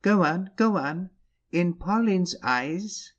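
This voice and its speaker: A man playing a small, gentle priest in an audiobook drama, speaking gravely and earnestly with quiet insistence. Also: sits high, animated, muffled recording. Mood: urgent, imploring. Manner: slow, solemn, earnest.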